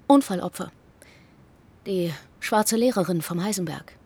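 A woman's voice: on the low side, expressive, unhurried, darkish tone, husky, full character voice. An actress playing a weary female hospital doctor and mother, curt and brusque in the way she talks. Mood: tired, subdued. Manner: clipped, brusque. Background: music.

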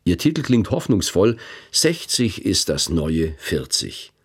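A man's voice: low-pitched, sing-song, slow, slightly rough. A man in his fifties hosting a radio feature, narrating in a reflective, wry first-person way. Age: 50s.

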